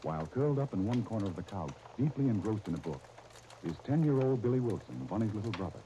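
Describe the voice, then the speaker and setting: A man's voice: on the low side, big pitch swings, fast. A man narrating a 1930s radio serial as its announcer, in a scripted dramatic style, on an old recording. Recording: muffled, noisy 1930s radio disc.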